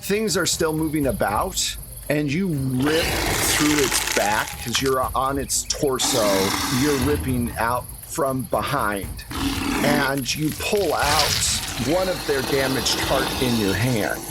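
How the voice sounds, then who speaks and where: A man's voice: medium pitch, animated, takes it slowly, heavy background noise. A man acting as game master, improvising tabletop game narration in a conversational way.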